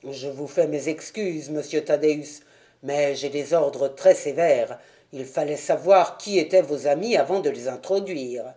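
Woman playing a burly old-school porter, gruff, low, firm, blunt, storybook character. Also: a little slow, mellow. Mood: apologetic. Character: burly gruff male porter, ex-boxer, stubborn and loyal.

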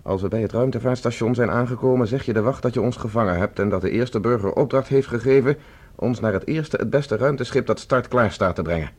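An actor playing a resolute escaped professor in a science fiction radio play, giving terse orders. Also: male voice, mid-range voice, brisk, hardly any pauses, darkish tone, husky, close to an everyday voice. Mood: firm, commanding. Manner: terse, imperative, gives orders. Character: commanding escaped professor, prisoner on Mars, urgent and resolute.

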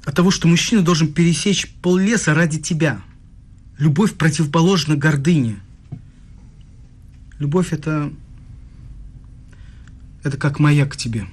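A man's voice: on the high side, normal speed, long pauses, darkish tone, husky. An actor playing a jealous young male student with a sardonic, needling manner in a radio play. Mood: bitter, earnest.

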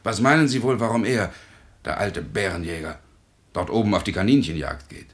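An actor playing a cynical, world-weary doctor in a radio play, speaking in a deliberate, sardonic, lecturing way. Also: male voice, fairly low pitch, expressive, lightly acted. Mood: scornful. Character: cynical, world-weary male doctor, blunt yet concerned. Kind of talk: German radio play acting.

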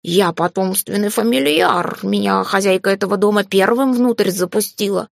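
A woman's voice: mid-range voice, moderate pace, creaky, dry recording, studio quality, lightly acted. A woman voicing a pampered, boastful male cat familiar who complains in a whiny, dramatic way in a storybook narration. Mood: indignant.

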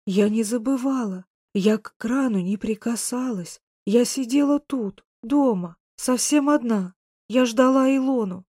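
A woman's voice: fairly high pitch, fairly even intonation, normal speed, mellow, dry recording, clean studio sound, natural voice. A woman narrator voicing a frail, confused and frightened old lady who mutters plaintively.

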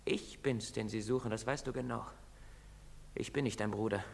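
A man's voice: on the low side, steady tone, moderate pace, vocal fry, slight background noise. An actor playing a bitter, hunted young man in a German stage drama, brooding and breaking into anguish. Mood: bitter, resigned. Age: young adult. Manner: brooding, swinging into anguished outbursts. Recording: reverberant theatre hall recording.

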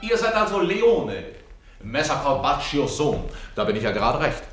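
An actor in a German radio play playing a cunning, brazen servant, roguish and mocking, with a sly theatrical delivery. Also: male voice, a little high, very animated, moderate pace, fairly bright tone, faint music behind. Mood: sly, persuasive.

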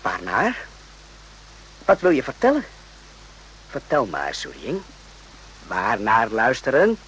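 An actor playing an uneasy, rough-spoken soldier on night watch in a radio play, agitated and often repeating himself. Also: male voice, mid-range voice, huge pitch swings, takes it slowly, fairly bright tone, slight background noise, exaggerated voice, poor audio. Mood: impatient, probing.

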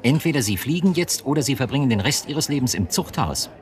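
An actor playing a manipulative, domineering police chief in a German radio drama, speaking in an insistent, measured and cutting way. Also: male voice, medium pitch, lively intonation, lightly acted. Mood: cold, insistent. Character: cold, coercive male police chief, manipulative.

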